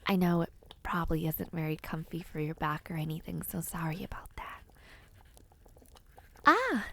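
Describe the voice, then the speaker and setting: A woman voicing a kindly, whimsical witch in an audio roleplay, talking to the listener in a gentle, theatrical, chatty way with airy hums. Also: medium pitch. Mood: flustered, apologetic. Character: kindly, whimsical, ancient witch, flustered caring hostess.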